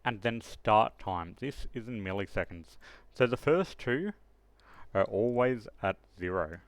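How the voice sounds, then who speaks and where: A man's voice: fairly low pitch, animated, a little slow, no echo. A man narrating an unscripted step-by-step screen tutorial, instructing and thinking aloud as he works. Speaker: tutorial host.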